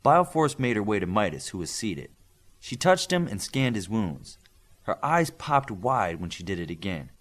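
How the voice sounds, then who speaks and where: A man's voice: fairly low pitch, moderate pace. A man reading a scripted fiction story as an audiobook narrator, acting out the dialogue as he goes. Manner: animated reading that acts out dialogue.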